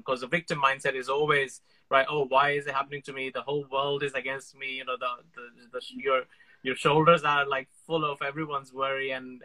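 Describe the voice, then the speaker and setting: Casting: male host with a bright, forceful voice, loose and rambling delivery. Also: a little high, poor audio. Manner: rambling, filler-heavy questions. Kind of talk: interview chat.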